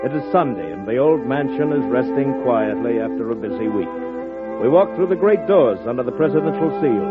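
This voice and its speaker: Male American announcer, vintage radio drama. Formal, declamatory, big swings in pitch, loud music behind, narrow old broadcast sound. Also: lively intonation, fairly quick.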